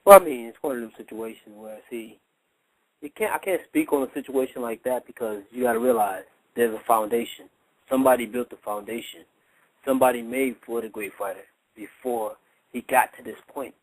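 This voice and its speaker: A man's voice: medium pitch, fairly quick, no echo, rough recording. A man talking casually as a boxing trainer in a radio interview, explaining his points with emphasis and repetition. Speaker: boxing trainer, guest analyst.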